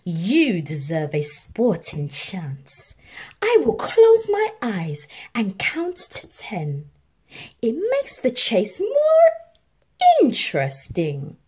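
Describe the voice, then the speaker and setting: A smug male tiger menaces his prey in a children's story, acted out by a woman. He speaks with slow, haughty relish, stretching words and sliding widely in pitch. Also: huge pitch swings, darkish tone, husky, full character voice. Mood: mocking. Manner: slow, haughty, drawn-out delivery.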